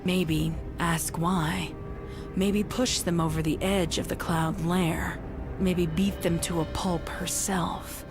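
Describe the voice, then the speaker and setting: Woman, lower-pitched, dramatic story narration, suspenseful, deliberate, loud music underneath. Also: steady tone. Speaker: audiobook narrator of fan fiction.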